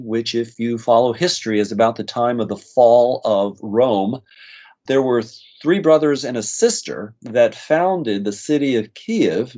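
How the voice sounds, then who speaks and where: A middle-aged man with a slight American accent, a nurse practitioner guest telling stories in a relaxed interview with frequent "uh" fillers. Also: medium pitch, lo-fi sound. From United States. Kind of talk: conversational interview storytelling.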